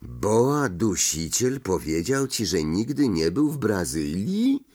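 A man voicing a grave, accusing schoolboy wizard in an audiobook, speaking slowly and with emphasis. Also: fairly low pitch, big pitch swings, exaggerated voice. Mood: grave, disbelieving. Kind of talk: audiobook character acting.

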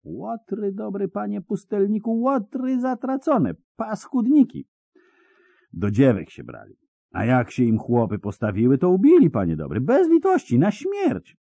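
A man's voice: high-pitched, huge pitch swings, measured pace, gravelly, warm tone, no echo, voice pushed up, full character voice. A man voicing a frightened, agitated old peasant with rustic, old-fashioned speech. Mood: outraged.

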